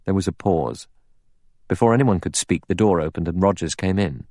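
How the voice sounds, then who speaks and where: Deep-voiced man narrating a novel. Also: normal speed, darkish tone, no room sound, clean studio sound. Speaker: audiobook narrator.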